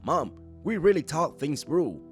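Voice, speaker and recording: A man's voice: fairly high pitch, big pitch swings, unhurried, breathy edge, full character voice. A man voicing an earnest, reassuring young father in a manga dub. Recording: background music under the voice.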